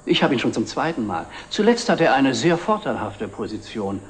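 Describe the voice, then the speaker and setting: An actor playing a dry, witty middle-aged antiques dealer in a 1960s TV drama, with polished sales patter. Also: male voice, medium pitch, big pitch swings, normal speed, lightly acted. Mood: wry, amused. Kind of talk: 1960s German TV drama acting. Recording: old TV film, faint noise.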